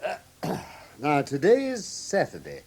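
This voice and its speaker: Casting: incisive English gentleman sleuth for radio drama, husky timbre, highly expressive pitch, brisk authority. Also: heavily acted. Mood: probing, brisk. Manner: precise, incisive, theatrical. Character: brilliant, brisk, commanding Victorian English gentleman detective. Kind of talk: classic British radio drama acting.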